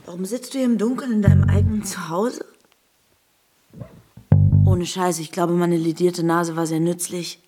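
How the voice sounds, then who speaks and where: An actress playing a blunt, bitter, bossy estranged wife who takes charge, with dry sardonic delivery in a radio drama. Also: female voice, fairly low pitch, expressive, moderate pace, long pauses, close to an everyday voice. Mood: brisk, sardonic. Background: music.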